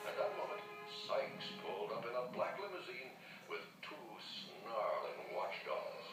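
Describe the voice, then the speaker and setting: Guy reading a kids storybook, lively narration, echoey n kinda far, music under it. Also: medium pitch, measured pace. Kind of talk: scripted children's story narration. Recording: echoey distant playback with music behind.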